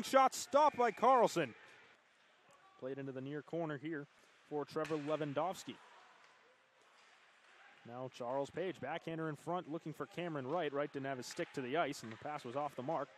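A man calling hockey play-by-play, rattling off passes and shots and surging loud whenever a goal goes in. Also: fairly high pitch, slight background noise. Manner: rapid calls that surge loud on goals. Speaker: play-by-play commentator.

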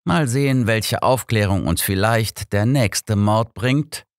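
A man's voice: lowish voice, takes it slowly, no echo, voice pushed up. A sober old male detective, played by an audiobook narrator, speaking in a dry, wry, matter-of-fact way. The recording is very dry. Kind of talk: audiobook character acting.

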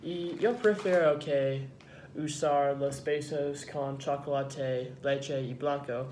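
Halting young man with American accent. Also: mid-range voice, fairly even intonation, darkish tone. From United States. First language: English. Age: young adult. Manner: slow, halting learner's Spanish. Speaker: cooking vlog host. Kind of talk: rehearsed recipe narration. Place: indoors, home dining room and kitchen. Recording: echoey home room, clear background noise.

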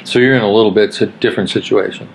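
A man's voice: lowish voice, steady tone, very fast, vocal fry, slight background noise, low-quality recording. An older man hosting a casual living-room podcast discussion, affirming and drawing out his guests. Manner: affirming, encouraging, frequent "right". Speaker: podcast host. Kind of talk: casual interview.

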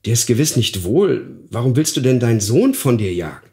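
A man's voice: mid-range voice, sing-song, brisk, darkish tone. A man voicing a bewildered boy turned into a dwarf, earnest and pleading in fairy-tale style. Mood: anxious. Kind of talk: dramatized fairy-tale audiobook.

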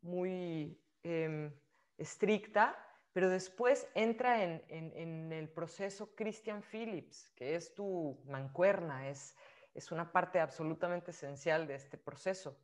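A young woman hosts an interview over a video call from a room lined with bookshelves. Her voice sits low, and she frames her questions with hesitant fillers, using a laptop webcam mic. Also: slow. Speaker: host.

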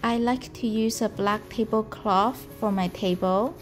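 Woman, 30s, potter vlogger, unhurried and soft-spoken over background music. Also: mid-range voice. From Canada. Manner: slow, gentle, careful delivery. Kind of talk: calm explanatory vlog narration. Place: home room indoors.

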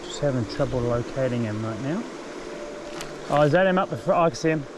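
A man in his forties with a New Zealand accent, talking excitedly to an action camera while fly fishing outdoors by a forest river. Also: medium pitch, fast talker. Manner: enthusiastic, exclaims often. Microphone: action camera, chest or handheld. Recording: outdoor river recording with clear background noise. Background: flowing river.

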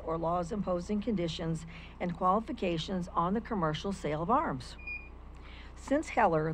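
A woman's voice: on the low side, a little hiss or hum, rough recording. An older woman reading a prepared legal argument as public comment at a county meeting, speaking into a stand microphone. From United States. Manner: formal, argumentative, reads quotes from legal texts. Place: county meeting room, indoors. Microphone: stand microphone at a lectern.

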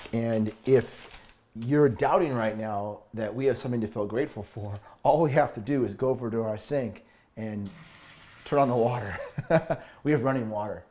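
A man's voice: medium pitch, darkish tone. A man in his thirties, a fitness coach, talking through a meal in his home kitchen, earnest and upbeat, explaining step by step. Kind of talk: cooking demo talk to camera.

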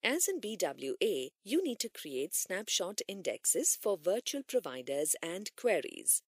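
A woman reading scripted instructional narration in a measured, formal manner, as for an e-learning course. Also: on the low side, big pitch swings, breathy edge, dry recording, clean studio sound.

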